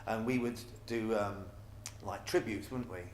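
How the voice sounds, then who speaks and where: Middle aged guy chatting in an interview, deepish voice, restarts his phrases, roomy sound w a bit of noise. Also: steady tone, measured pace. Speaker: pantomime dame performer. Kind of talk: casual interview chat about stage work. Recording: echoey room with faint background noise.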